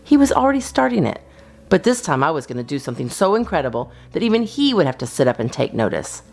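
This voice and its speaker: Female storytime reader, very lively intonation, slightly low register with gravelly edges, expressive delivery for kids. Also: quick pace. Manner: animated, theatrical reading for children. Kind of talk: expressive children's storybook narration.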